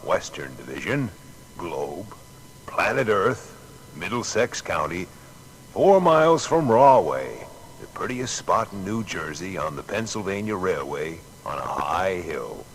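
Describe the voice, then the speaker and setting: A man's voice: deep voice, big pitch swings, measured pace, exaggerated voice. An actor playing a folksy, boastful American male inventor in a dramatised historical reminiscence, speaking plainly and colloquially. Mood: playful, proud. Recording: long hall reverb, background noise.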